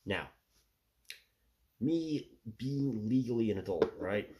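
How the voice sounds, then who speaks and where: Man in his 30s, deep voice, stuffy nose, rambling solo monologue, lots of "you know". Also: expressive, takes it slowly, noisy background. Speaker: solo commentator.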